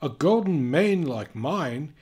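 A vain lion out of a picture book, put on by a man speaks with emphatic self-assurance. The voice is lifted and husky, with words drawn out slowly. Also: fairly high pitch, expressive, a little mellow, pitched above the performer's own voice, heavily acted. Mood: dismissive.

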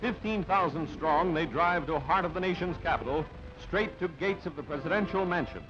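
A man's voice: a little high, normal speed. A man reading scripted newsreel narration in a clipped, punchy, dramatic way on an old soundtrack. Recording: dull band-limited old newsreel soundtrack. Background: music.

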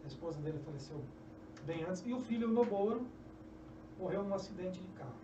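High-voiced Brazilian man telling a story. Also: brisk. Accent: Brazilian Portuguese. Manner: animated storyteller, gestures often, restarts phrases. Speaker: guest, journalist and author. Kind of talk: storytelling interview answers. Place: small indoor podcast studio. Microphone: desk mic on a stand. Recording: echoey, distant, dull, noisy.

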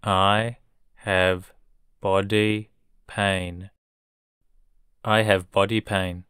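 A man's voice: low-pitched, level tone, extremely slow, a bit bright, smooth, no echo, clean studio sound. A man teaching English words for illness, saying each word three times and then each sentence word by word. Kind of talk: slow drilled vocabulary reading.

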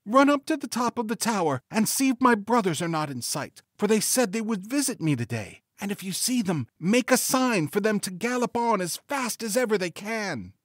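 A man's voice: high-pitched, big pitch swings, normal speed, few pauses, no echo, studio quality, pitched above the performer's own voice. A man voicing a terrified young wife in a folktale, crying out and beseeching in tearful desperation. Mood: tearful, urgent.

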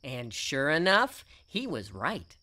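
A man's voice: on the high side, big pitch swings, slow, bright, forceful tone, full character voice. A man playing a genial, folksy narrator of a children's cartoon storybook. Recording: music under the narration. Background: music.

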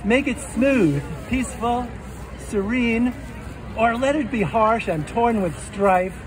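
A man with a fairly high voice reads rhymed poetry at an unhurried, measured pace. He speaks into a stand mic on an outdoor stage. The phone recording carries clear street and outdoor noise behind him. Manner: deliberate, rhythmic delivery of rhymed verse. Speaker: poet. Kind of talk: poetry reading. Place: outdoor stage under trees at a festival. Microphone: stand mic on stage, filmed by phone. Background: outdoor street ambience.

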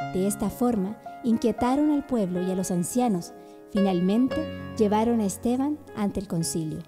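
A woman reading scripted narration as the storyteller of a radio drama. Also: mid-range voice. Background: clear background music.

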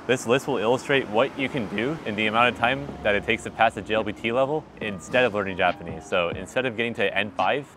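A young man in his twenties talking straight to the camera in a wry, animated vlog, recorded outdoors on a city street at night with a clip-on mic. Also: fairly low pitch. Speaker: vlogger. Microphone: small clip-on mic on his shirt.

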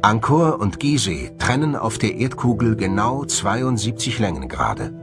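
Animated male documentary narrator over music. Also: lowish voice, a little slow. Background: music under the voice.

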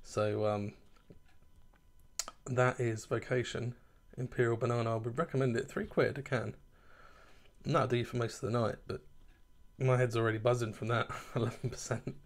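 Brief: thirties male home reviewer, gravelly mid-pitched voice, chatty and self-amused, recorded on a tabletop camera in a kitchen. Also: steady tone, normal speed. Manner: chatty and rambling, laughs at himself. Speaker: beer reviewer. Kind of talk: casual chat to camera.

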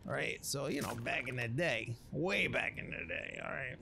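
A man's voice: medium pitch, expressive, moderate pace, gravelly, sharp tone, a little hiss or hum, pitched below the performer's own voice. A man voicing a brash wasteland chef who rambles in emphatic, slangy wise-guy talk as he recounts a legend over a video game. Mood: breezy, boastful. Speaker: narrator of a roleplay series. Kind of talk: improvised in-character game commentary.

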